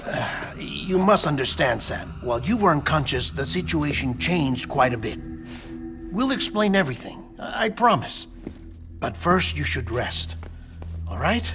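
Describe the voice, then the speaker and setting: A man playing an earnest, analytical official in a video game cutscene, explaining things in a measured, persuasive way. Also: a little high, very animated, music in the background. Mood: reassuring. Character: earnest, analytical male official guiding the hero.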